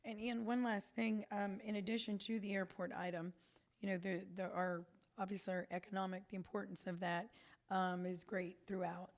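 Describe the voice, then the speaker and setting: Council member woman, voice going hoarse. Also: medium pitch, flat delivery, moderate pace. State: losing her voice. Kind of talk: meeting questions. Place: council chamber.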